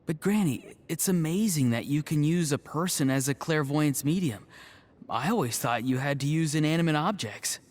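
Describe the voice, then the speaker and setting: A man voicing a gentle, earnest, polite young healer in a video game. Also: on the high side, lively intonation, normal speed. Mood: admiring. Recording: background music.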